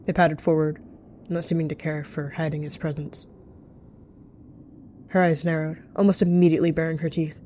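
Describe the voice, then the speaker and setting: Low-pitched woman narrating a roleplay. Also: flat delivery, normal speed, long pauses, warm tone, slight background noise. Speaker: roleplayer narrating and voicing cat characters. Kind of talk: improvised third-person roleplay narration.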